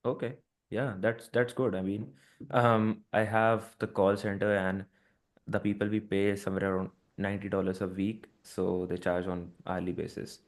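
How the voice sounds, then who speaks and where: A man's voice: fairly low pitch, level tone, moderate pace. A man on a casual business call, sharing advice with a peer in an encouraging way, with frequent fillers.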